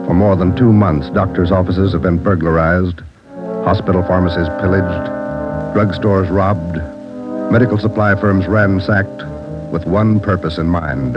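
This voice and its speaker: A male announcer with an emphatic, punchy delivery, setting the scene on a 1940s radio broadcast, in an old, dull recording. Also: sits low.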